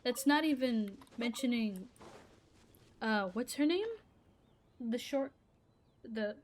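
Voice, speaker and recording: A woman's voice: on the high side, slow pace, slight background noise. A woman whose first language is Arabic, ranting casually to her viewers in a rambling, exasperated way that keeps breaking off mid-sentence. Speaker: gaming vlogger. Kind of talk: casual rant to camera.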